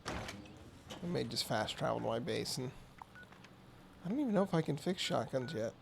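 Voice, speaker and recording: A man streaming a game with casual, rambling commentary, hoarse, raspy and a bit mumbly from being sick. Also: medium pitch, sing-song, normal speed, a little hiss or hum. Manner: rambling, chatty asides.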